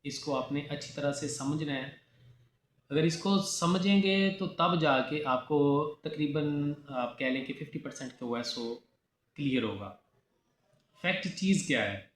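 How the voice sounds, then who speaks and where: A man's voice: a little high, unhurried. A man from Pakistan with a Pakistani accent, giving a didactic law lecture, repeating key definitions and posing rhetorical questions. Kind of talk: classroom lecture mixing Urdu with English terms. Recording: echoey room with faint background noise.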